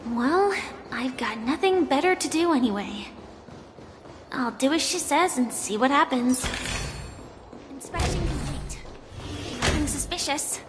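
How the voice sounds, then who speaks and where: A wry, high-voiced young adventurer. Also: female voice, animated, a little slow, pauses often, background noise. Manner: wry, dry inner monologue. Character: curious young woman adventurer, wry and cautious. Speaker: player character. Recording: game audio with music behind.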